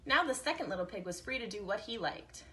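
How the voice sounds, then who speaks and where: Bright-voiced woman reading a children's story. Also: mid-range voice, expressive, fast talker. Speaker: teacher reading a picture book aloud to children.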